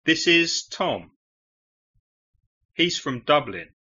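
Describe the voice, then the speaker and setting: A man from England with a London accent, teaching English with slow, deliberate phrases for learners to repeat. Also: on the high side, sing-song, bright, forceful tone. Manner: clear, deliberate model phrasing for repetition. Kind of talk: slow language-lesson drills. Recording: dull lo-fi audio.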